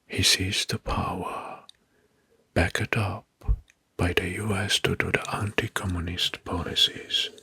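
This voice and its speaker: Slow, breathy whispering man. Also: sits low, flat delivery. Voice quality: breathy, mostly unvoiced whisper. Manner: slow, deliberate, repeats names and words softly. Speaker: ASMR narrator. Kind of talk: whispered ASMR narration of history facts.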